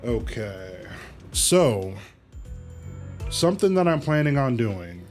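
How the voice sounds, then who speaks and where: A man chatting casually to viewers as a livestreamer, halting and rambling, with easygoing slang. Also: medium pitch, very animated. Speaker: live streamer, host of own channel. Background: music.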